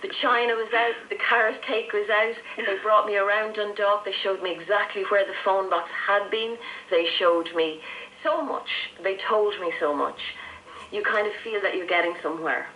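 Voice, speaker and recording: A woman's voice: mid-range voice, steady tone, normal speed, bright, forceful tone. An Irish woman in her fifties telling her own story conversationally as an interview guest, over shortwave radio. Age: 50s. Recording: noisy shortwave radio reception. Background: faint radio static.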